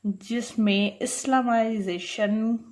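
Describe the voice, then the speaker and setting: A woman's voice: fairly high pitch, fairly even intonation, slow. A woman giving an explanatory law lecture, mixing in English terms and often restating her points. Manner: repeats and rephrases points, checking in with the listener.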